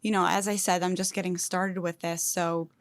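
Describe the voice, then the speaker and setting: A woman's voice: on the low side, level tone, normal speed, dry recording. A woman hosting a podcast, who keeps the talk upbeat and encouraging and follows up with friendly questions and frequent laughs. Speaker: podcast host, interviewer. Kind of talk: casual interview chat.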